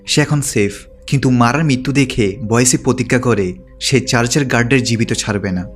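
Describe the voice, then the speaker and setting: A man reading a scripted story recap in a steady, continuous storytelling manner. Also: mid-range voice, a little fast, soft background music. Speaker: movie recap narrator. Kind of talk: scripted narration, plot recap.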